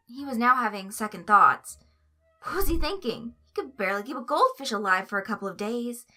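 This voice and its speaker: Bright, high-voiced woman reading a story. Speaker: story narrator. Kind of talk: expressive fan fiction reading.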